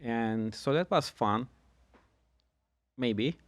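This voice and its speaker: A middle-aged man from Turkey, a guest telling his story in a measured way with dry humor. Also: medium pitch, dry recording. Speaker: guest, insurance agent. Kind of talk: casual podcast conversation.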